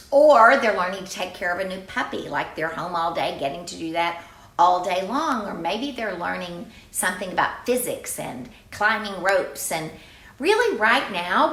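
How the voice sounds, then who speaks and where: Expressive lady reading picture book to kids, slow n swoopy, roomy echo. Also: fairly low pitch. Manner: expressive, exclaiming, conversational. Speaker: children's storyteller and drama teacher. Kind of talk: reading a picture book aloud with chatty asides to children. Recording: roomy, echoey sound.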